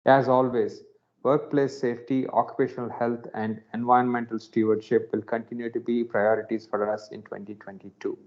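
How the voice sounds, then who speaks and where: A man's voice: medium pitch, flat delivery, fairly quick, darkish tone. Formal male executive on a muffled call. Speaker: senior company executive giving closing remarks. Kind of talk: scripted business remarks on an earnings call. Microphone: conference call line. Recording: dull, lo-fi conference call audio.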